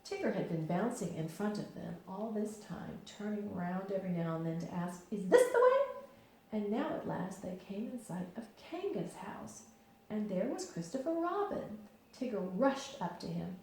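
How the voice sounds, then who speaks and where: A woman teacher reading a children's story aloud with expressive, lively storytelling in a very echoey room. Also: medium pitch, moderate pace, slight background noise. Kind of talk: children's read-aloud narration.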